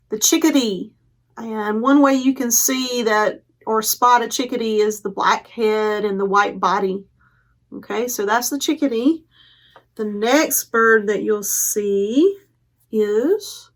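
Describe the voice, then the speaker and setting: A woman hosting a children's storytime, explaining simply and reading a picture book aloud for young listeners. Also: a little high. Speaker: children's librarian, storytime host.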